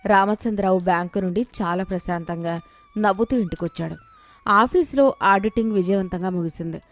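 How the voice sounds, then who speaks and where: A woman narrating a scripted moral story as its storyteller. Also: mid-range voice.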